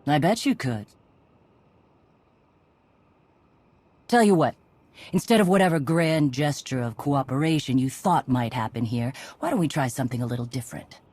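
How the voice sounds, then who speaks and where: A woman's voice: a bass voice, animated, fairly quick, a little mellow, dry recording. Brash, cocky female warrior chief. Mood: cocky challenge. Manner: brash, sardonic, casual. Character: tough, cocky female Mandalorian clan leader. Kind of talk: video game voice acting.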